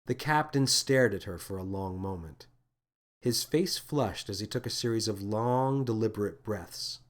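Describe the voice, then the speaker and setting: A man's voice: on the low side, a little slow. Man narrating an audio story. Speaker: author reading own story.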